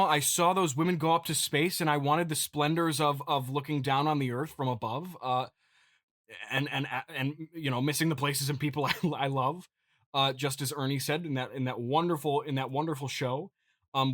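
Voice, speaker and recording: A man hosting a comedy podcast, improvising a halting, mock-earnest monologue in which he stammers and repeats his words. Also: mid-range voice, fairly even intonation, a bit bright, no room sound, clean studio sound. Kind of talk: improvised comedic monologue reading listener feedback.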